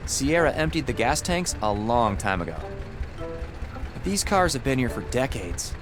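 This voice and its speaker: An actor playing an easygoing, curious young tinkerer, the wry and casual hero of an adventure video game. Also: male voice, medium pitch, very animated, moderate pace, over music, natural voice. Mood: musing. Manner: wry, casual, musing aloud. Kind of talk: video game character dialogue.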